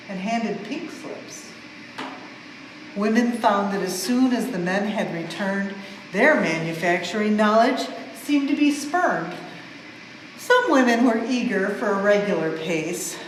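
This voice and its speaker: A woman reading a rhyming storybook aloud in an expressive, measured way, as for a museum storytime. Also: mid-range voice. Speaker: museum staff member reading a children's book aloud. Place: indoors in a museum exhibit space. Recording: very echoey reverberant hall, faint background noise.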